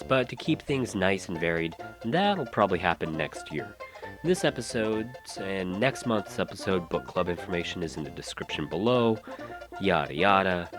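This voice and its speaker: A man hosting a solo podcast, congested and nasal from a head cold, rambling, trailing off and restarting mid-sentence. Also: low-pitched. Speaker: podcast host.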